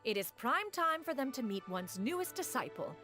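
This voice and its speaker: A woman's voice: sits high, sing-song, moderate pace, pushed and bright, faint music behind, full character voice. Haughty, refined immortal woman. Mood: proud. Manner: haughty, archaic, formal, calls herself "one". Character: proud, refined, ancient immortal woman adjusting to city life. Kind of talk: video game character dialogue.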